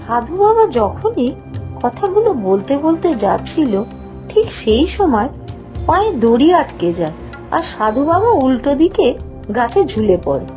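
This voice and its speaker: A woman's voice: high voice, big pitch swings, a little slow, a little mellow. A woman narrating a scripted children's story, with music behind her voice. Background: background music.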